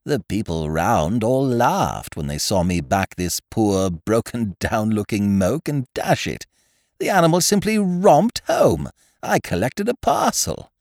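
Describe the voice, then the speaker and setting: A man voicing an amiable, dim young gentleman in an audiobook, bleating and chummy, full of old-fashioned British slang. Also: on the low side, very animated, unhurried, no echo, clean studio sound, pitched above the performer's own voice. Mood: cheerful, chatty. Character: amiable, dim upper-class young gentleman, easily pleased.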